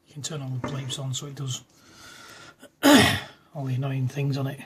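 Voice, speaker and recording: A man's voice: medium pitch, flat delivery, moderate pace, slight background noise. A man in casual amateur radio chat, matey and full of radio jargon and call signs. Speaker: amateur radio operator making a demo video. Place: indoors at a desk in a front room.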